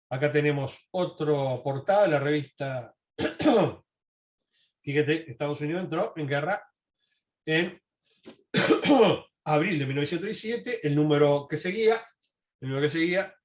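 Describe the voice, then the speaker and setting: A man giving a history presentation, reading and commenting in a halting, self-correcting way. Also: fairly high pitch. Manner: halting, with frequent self-corrections and throat clearing. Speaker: lecturer. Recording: echoey, roomy sound.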